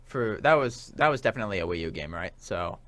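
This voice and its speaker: A man's voice: low voice, expressive, quick pace, background noise. A young adult man chatting casually about video games as a talk show co-host, in an eager, rambling, informal way. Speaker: college radio show co-host.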